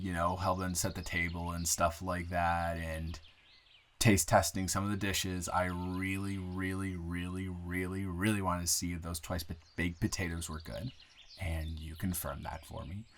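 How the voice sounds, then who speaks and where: A man playing a friendly young newcomer to town, chatting casually and haltingly with a close friend in an improvised roleplay audio. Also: sits low. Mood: grateful, rambling. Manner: rambling, halting, self-correcting, conversational. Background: bird and outdoor ambience sound effects.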